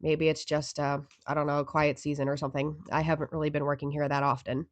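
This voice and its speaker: A woman's voice: low-pitched, level tone, quick pace, no echo, voice pushed down, close to an everyday voice. A woman playing a laid-back, indifferent young theater receptionist in an audio drama, with a casual, noncommittal way of talking. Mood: offhand.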